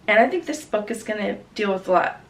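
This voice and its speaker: A woman's voice: medium pitch, animated, fast. Woman chatting about books, just over a cold. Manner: chatty, enthusiastic, self-correcting. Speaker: book vlogger. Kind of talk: casual book haul chat. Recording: slightly distant mic, faint background noise.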